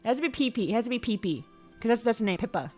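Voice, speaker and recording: A young woman chatting casually on a livestream. She rambles, repeats and stumbles over words, and her voice sometimes jumps suddenly into high squeaks. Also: lively intonation, racing, a little mellow. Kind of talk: casual stream chat. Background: faint background music.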